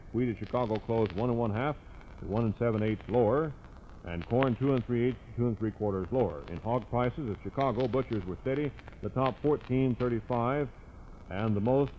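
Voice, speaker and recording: A man with an American accent delivers a scripted radio news bulletin in 1950s broadcast style. His voice sits at an ordinary male pitch. The old recording is muffled and carries faint background noise. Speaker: radio newscaster.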